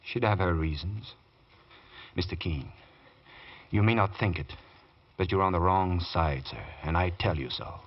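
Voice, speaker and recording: An actor playing a deferential but bitter, brooding valet, formal and hesitant, in 1940s radio drama style. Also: male voice, sits low, a little slow, long pauses. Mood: bitter, ominous. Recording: dull, muffled old radio recording.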